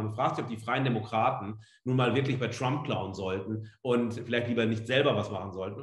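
A middle-aged man gives an emphatic, freely spoken online lecture, leaning on rhetorical questions and short tag words. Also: mid-range voice. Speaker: professor giving a guest lecture. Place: indoor room, on video call. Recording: roomy, echoing indoor sound.

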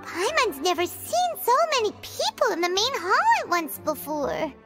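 A woman voicing a tiny, excitable childlike fairy companion in a video game, with a squeaky, chirpy delivery. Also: normal speed, over loud music, exaggerated voice. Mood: awed, nervous. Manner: chirpy, excitable, refers to herself in third person.

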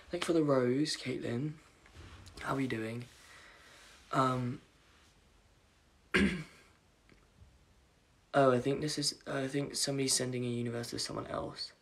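A young man with a croaky voice chatting casually on a phone livestream from his bedroom at night, reading comments aloud and apologizing often. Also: mid-range voice, steady tone, a little slow, pauses often, a bit bright, slight background noise. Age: young adult. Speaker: livestream host. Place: dim bedroom at night, indoors. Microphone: phone camera at arm's length.

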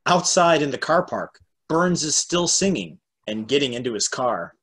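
A man's voice: medium pitch, very animated, normal speed, a bit bright, breathy edge. A man reading stage directions as narrator of an amateur table read on a video call from home.

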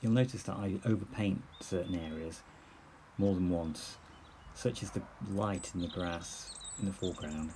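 A man in his fifties explaining his painting outdoors in a sunny churchyard, relaxed and explanatory, with many fillers. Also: low voice, moderate pace, a little hiss or hum. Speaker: painting instructor. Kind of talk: plein air painting demonstration. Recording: outdoor recording with clear birdsong. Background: birdsong and outdoor ambience.

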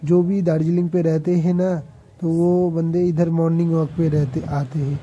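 A man's voice: on the high side, flat delivery, normal speed, slightly rough, dull audio. A man, a travel vlogger, chatting casually to viewers while walking outdoors, rambling and correcting himself as he goes. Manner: rambling, self-correcting, addresses viewers directly. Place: outdoor hill town square, busy at night. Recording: music playing loudly in background.

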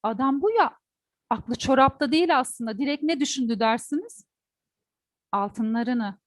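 A woman tells a traditional folk tale in a high voice. She is expressive and addresses her listeners directly with questions. The sound is dry and close. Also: normal speed, no room sound. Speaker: storyteller; teacher. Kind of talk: oral folk-tale storytelling to an audience.

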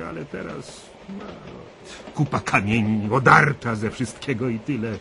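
An actor playing a talkative, folksy local gossip in a radio drama, emphatic and colloquial. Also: male voice, on the high side, creaky, fairly bright tone, heavily acted. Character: chatty, folksy local man, proud castle gossip. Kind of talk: 1990s Polish radio drama acting. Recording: radio play with background noise.

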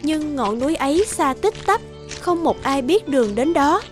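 A female narrator reads a scripted fairy tale. Her voice is high-pitched, and light music plays softly behind her. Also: animated, moderate pace.

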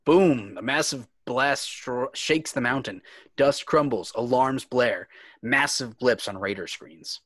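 A man's voice: medium pitch, takes it slowly, fairly bright tone, dry recording, studio quality. A man reading screenplay stage directions as dramatic scripted narration.

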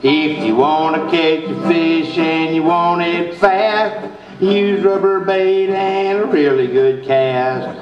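A man sings a folksy comic jingle, very slowly, over acoustic guitar. The sound is echoey, as from a stage. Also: a little high, steady tone. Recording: echoey, muffled stage recording.

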